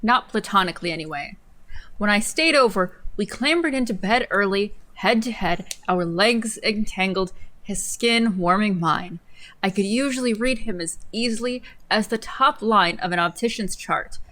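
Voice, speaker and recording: A woman's voice: medium pitch, moderate pace, a bit bright. Incredulous young woman reading aloud. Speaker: co-host of a livestream. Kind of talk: dramatic reading of an article with casual asides.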